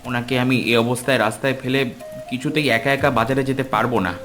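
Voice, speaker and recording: A man playing a kind poor village dad, mid-pitched plain voice, earnest, faint music. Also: steady tone, fast talker, close to an everyday voice. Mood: resolute. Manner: earnest, musing aloud. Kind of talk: cartoon voice acting.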